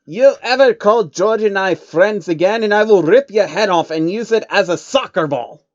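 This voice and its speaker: A man voicing a menacing, condescending baron with a deliberate, sardonic manner for a story reading. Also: high-pitched, no echo, highs cut off, voice pushed up, close to an everyday voice. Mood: threatening.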